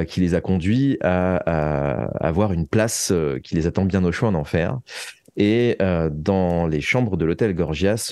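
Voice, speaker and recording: A man with a deep, soft-edged voice. He tells anecdotes as an interview guest, pausing and rephrasing as he circles toward his point. The sound is dry, with little room. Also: no room sound. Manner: hesitant, digressive, with frequent self-rephrasing. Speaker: interview guest, author of audio fiction. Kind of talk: conversational storytelling in an interview.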